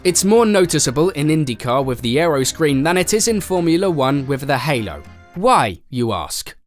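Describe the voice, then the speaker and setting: Sarcastic male explainer narrator, lively pitch. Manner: sarcastic, playful asides. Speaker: narrator of a motorsport explainer channel. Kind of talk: scripted voice-over narration. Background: faint background music.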